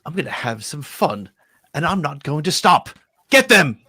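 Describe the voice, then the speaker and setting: A man's voice: on the high side, sing-song, moderate pace, sharp tone, slightly rough, full character voice. A man playing a pompous, cowardly male captain very dramatically in a comedic sci-fi table read. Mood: commanding.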